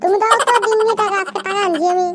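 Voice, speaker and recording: Squeaky cartoon schoolboy, very high pitch, fast, brash, insulting, comedy cartoon. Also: steady tone, very noisy. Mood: defiant. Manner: brash, scolding, slangy. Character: cheeky, foul-mouthed schoolboy cartoon friend, quick to insult.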